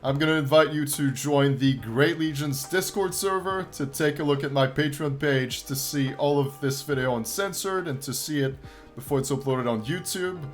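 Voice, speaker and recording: A man hosting a gaming channel, hoarse and tired, talking his viewers through a game feature in an upbeat, encouraging way. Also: on the high side, moderate pace, faint music behind. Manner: upbeat and encouraging, with frequent laughs and throat clearing. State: tired after a long stream. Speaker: YouTube gaming channel host. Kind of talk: casual gameplay commentary to viewers.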